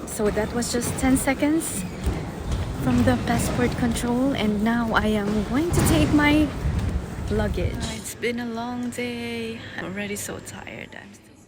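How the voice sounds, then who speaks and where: A woman chatting casually to her viewers as a travel vlogger, talking straight to the camera. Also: a little high. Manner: chatty, unhurried, addresses viewers directly. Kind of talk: casual travel vlog narration. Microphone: handheld action camera. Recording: loud background music, sometimes off-mic.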